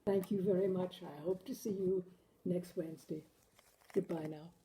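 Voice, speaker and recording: A woman hosting a literary reading, speaking in deliberate, measured and expressive phrases. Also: fairly low pitch, a little hiss or hum, poor audio. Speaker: host reading short stories. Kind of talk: literary story reading and host talk.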